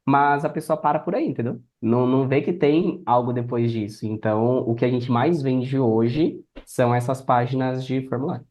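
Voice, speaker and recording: A man's voice: medium pitch, steady tone, normal speed, few pauses, darkish tone. A man with a Brazilian accent explaining things casually as a podcast guest on a remote call. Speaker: podcast guest, affiliate marketer.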